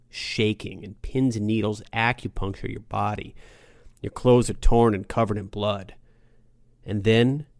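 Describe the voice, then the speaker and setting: Man, low voice, creaky edge, gritty noir audiobook narration, dramatic delivery. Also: no echo, studio quality. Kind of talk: first-person noir story narration.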